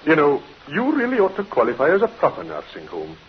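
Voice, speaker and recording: An actor playing a courteous, sympathetic doctor in a vintage radio drama, formal and kindly, on a muffled, hissy recording. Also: male voice, fairly high pitch, huge pitch swings, brisk. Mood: businesslike. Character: courteous, sympathetic, businesslike male attending doctor. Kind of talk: 1950s radio acting.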